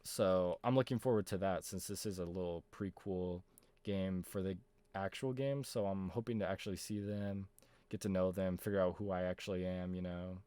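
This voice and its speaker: A man's voice: deep voice, steady tone, normal speed, mellow, smooth, no room sound. A man giving casual, rambling gaming commentary in slangy, informal speech. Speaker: gaming video host.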